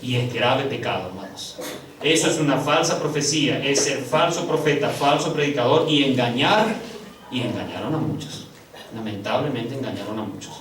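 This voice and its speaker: A male pastor preaching with sharp swings between soaring high stresses and quiet, low stretches. He draws words out slowly for weight and talks straight to his congregation as brothers. His voice rings through a reverberant hall, picked up from some distance. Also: background noise. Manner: addresses congregation as brothers, slow drawn-out stresses. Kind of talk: sermon. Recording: echoey room, picked up from a distance.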